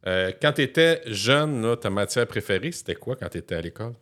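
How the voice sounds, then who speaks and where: A man's voice: fairly low pitch, very animated, brisk, a bit bright. A man with a Quebec French accent interviewing a guest, encouraging and affirming, with short back-channel replies. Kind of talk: casual interview conversation.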